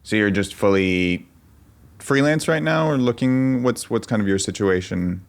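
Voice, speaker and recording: A man interviewing a job applicant on a casual call, friendly, with quick restarts and fillers. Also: fairly low pitch. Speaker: interviewer posing as an employer. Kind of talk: casual video call conversation.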